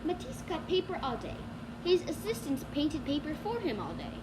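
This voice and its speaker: A woman's voice: high voice, wildly swooping pitch, moderate pace. A female student reading a children's storybook aloud, with noise behind the voice. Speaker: student reader. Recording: clearly noisy background.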